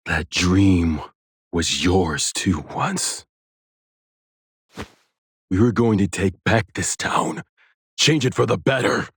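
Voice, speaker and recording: A steadfast leader in a fantasy game. The voice is deep and very unhurried, solemn and restrained, sincere with a wounded gravity. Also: male voice, slightly rough, no room sound, clean studio sound, heavily acted. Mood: solemn reproach. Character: grave, sincere male town boss, steadfast and wounded. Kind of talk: dramatic video game voice acting.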